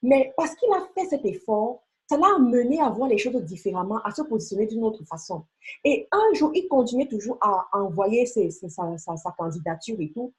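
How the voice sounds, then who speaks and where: A woman's voice: on the high side, expressive, normal speed, a little mellow. A woman giving a motivational sales training talk, emphatic and coaxing, speaking straight to the listener. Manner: emphatic, coaxing, addresses listener directly with rhetorical questions. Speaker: beauty sales team leader and trainer.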